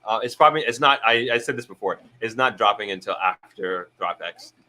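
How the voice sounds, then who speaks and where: A man's voice: lowish voice, brisk, sharp tone, poor audio. A young man born in Jamaica, hosting a live stream and chatting casually about viewer comments, often restarting his sentences as he thinks aloud.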